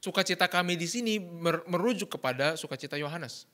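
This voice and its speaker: A man interpreting a sermon live, phrase by phrase, rushing through each line. His slightly high, bright voice stumbles now and then, with fillers and self-corrections as he keeps up. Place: church.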